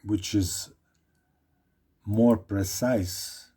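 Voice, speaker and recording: A man with a Croatian accent talks slowly through a Bible study, dwelling on each phrase and repeating himself as he reads. His voice sits low and mellow, with a husky edge. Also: fairly even intonation. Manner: slow, deliberate, repeats phrases while reading aloud. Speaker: Bible teacher. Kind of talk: informal Bible study talk.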